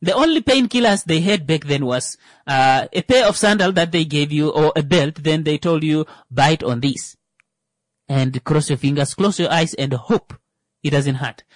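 A man's voice: on the high side, normal speed, no room sound. A man hosting a radio talk show, joking and rambling with many fillers. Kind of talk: comedic monologue.

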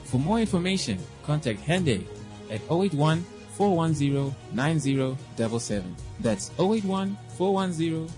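A man's voice: fairly high pitch, sing-song, moderate pace. A man reading an upbeat radio advertisement. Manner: upbeat announcer read. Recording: voice over loud music bed.

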